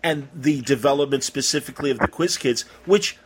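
A man's voice: medium pitch, brisk, husky. An American man from Chicago interviewing someone in a casual, informal way, musing and rambling as he goes. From Chicago, United States.

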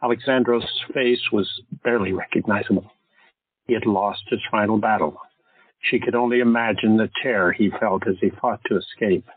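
Male narrator, audio drama, steady dramatic storytelling, lowish voice, tinny phone-like sound. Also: normal speed, husky, no echo.

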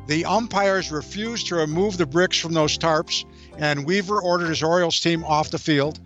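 A man's voice: on the high side, normal speed, a bit bright, lo-fi sound, faint music behind. A man presenting a scripted trivia segment on a sports radio show.

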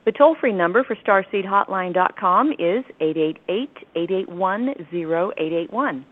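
A woman hosting a talk radio show, reading announcements and introducing guests, friendly and quick to laugh. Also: mid-range voice, animated. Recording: tinny phone line.

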